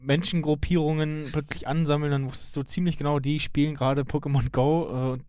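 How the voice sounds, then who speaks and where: A man in a casual podcast chat, speaking haltingly, often repeating himself as he searches for words. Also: a little high, fairly even intonation, a little mellow, no echo, studio quality. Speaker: podcast co-host. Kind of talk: casual chat about animated series and games.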